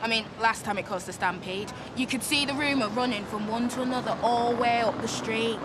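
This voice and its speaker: An actress playing an excitable, gossipy saleswoman, playfully exaggerating. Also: on the high side, brisk, very noisy, lightly acted. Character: gossipy, excitable female bargain seller. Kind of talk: television soap acting.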